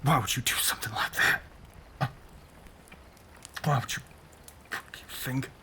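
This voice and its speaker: A low-voiced, whispery Southern vampire, acted by a man, speaks in an intimate audio roleplay. He is a lonely, tormented gentleman with a Southern US accent, drawing out his genteel, old-fashioned phrases very slowly and breathily.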